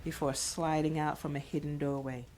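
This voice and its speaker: A woman narrates an audio drama, reading out scene directions. Her voice is low for a woman, with a scripted narrator's delivery. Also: flat delivery, moderate pace, a little mellow.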